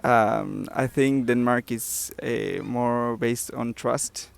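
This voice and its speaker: Argentine guy, gravelly, pretty flat delivery, kinda hesitant. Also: medium pitch, slow pace, no room sound. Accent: Argentine Spanish. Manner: soft, laughs easily, hesitant. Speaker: interviewee. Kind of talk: street interview.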